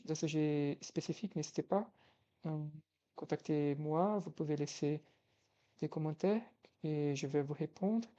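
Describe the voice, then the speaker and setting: A young man with a Brazilian Portuguese accent talks through his days in a relaxed video diary. His voice is mellow and soft-edged, and he hesitates, backtracks and rephrases as he searches for words in a language that is not his first. The recording sounds a little dull and lo-fi. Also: a little high. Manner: hesitant, frequent restarts and self-corrections. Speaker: language tutor.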